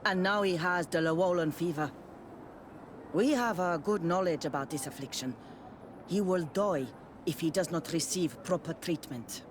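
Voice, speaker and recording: Game role: guarded, plain-spoken healer, acted by a man, higher-set voice, clearly audible ambient noise. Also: moderate pace, lightly acted. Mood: guarded, stern. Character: blunt, guarded clan herbalist, a parent of a grown child. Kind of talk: video game cutscene dialogue.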